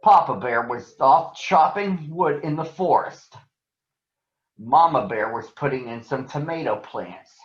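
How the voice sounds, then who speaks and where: A man reading a children's story aloud in an expressive, deliberate storytelling manner. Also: medium pitch. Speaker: storybook reader. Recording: roomy echo, dull lo-fi sound.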